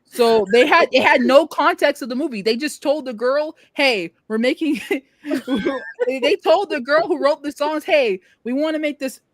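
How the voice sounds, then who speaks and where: A woman's voice: sits high, unhurried, a little hiss or hum. A woman chatting casually on a panel about films, arguing her points emphatically in slangy speech. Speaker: podcast panelist.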